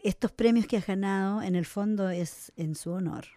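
A woman from Chile interviewing a guest, encouraging him and quick to agree with what he says. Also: mid-range voice, mellow, no room sound, clean studio sound.

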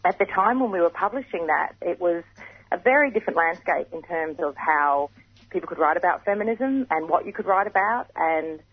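Woman, radio guest on the phone, husky morning rasp, dry dull sound, thinks aloud. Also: fairly low pitch, animated, fairly quick, no room sound. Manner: thoughtful, repeats words while thinking. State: gravelly morning voice. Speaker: guest writer. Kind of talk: radio interview.

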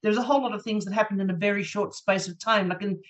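A woman's voice: mid-range voice, steady tone, racing, lo-fi sound. A woman talking as a panel guest in a conversational storytelling way, emphatic and repeating herself. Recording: distant from the microphone.

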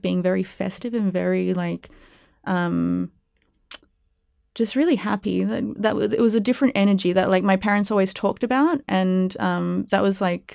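A young Australian woman with a lowish voice that has a gravelly edge yet stays smooth. She talks reflectively, with frequent ums and likes, and sounds close and dry. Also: darkish tone, no room sound. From Perth, Western Australia. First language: Spanish. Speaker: guest, arts curator and producer. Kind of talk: casual interview.

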